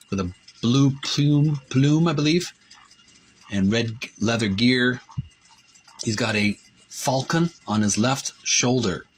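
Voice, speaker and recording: A man hosting a video channel, narrating a show-and-tell of a book, reading copy aloud and pausing between short phrases. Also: medium pitch, a bit bright, a little hiss or hum, poor audio.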